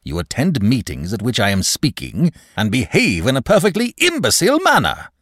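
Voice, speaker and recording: Male reader as pompous storybook bank manager. Stern, easily angered, scolding, wide pitch swings, dry close sound. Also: wildly swooping pitch, moderate pace, fairly bright tone, no room sound. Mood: indignant. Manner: stiff, indignant, scolding formal speech. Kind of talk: audiobook character dialogue.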